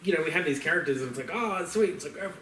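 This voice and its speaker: A man's voice: fairly high pitch, expressive, rapid-fire, bright, forceful tone, slight background noise. A man co-hosting a podcast, chatting casually in a rambling, slangy, conversational way. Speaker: podcast co-host. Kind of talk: casual chat about film and games. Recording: roomy, echoey, distant mic.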